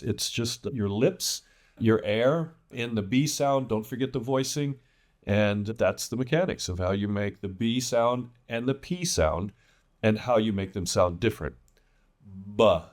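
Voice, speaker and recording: A man giving an English pronunciation lesson as a teacher, enunciating example words with deliberate emphasis. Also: on the low side. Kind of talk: instructional lesson with demonstrated words.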